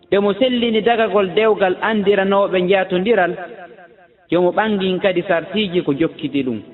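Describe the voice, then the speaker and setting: A man with a fairly high voice recites religious verse in a chanted style. The recording is dull and lo-fi, with a long hall reverb around the voice.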